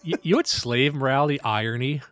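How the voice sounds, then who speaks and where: A man's voice: mid-range voice, quick pace, a bit bright, soft background music. A man co-hosting a podcast in casual chat, sarcastic and profane, laughing often. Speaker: podcast co-host.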